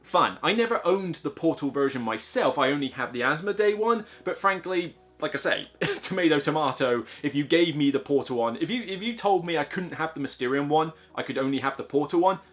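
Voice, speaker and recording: A man's voice: a little high, expressive, fairly quick, hardly any pauses, fairly bright tone, soft background music. A man reviewing board games in a casual, enthusiastic, rambling way, full of fillers and asides.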